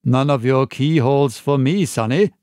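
A man's voice: medium pitch, normal speed, a little mellow, no room sound, studio quality, natural voice. A man voicing a fawning, sneering middle-aged pirate with a maimed hand, wheedling and falsely friendly.

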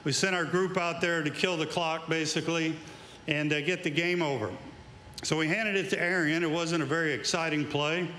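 A man's voice: on the high side, fairly even intonation, moderate pace, bright, forceful tone, echoey room, slight background noise. A middle-aged man, a former coach, giving an induction speech at an outdoor awards event, telling folksy stories into a handheld mic. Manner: folksy storytelling, building to emphatic tribute.

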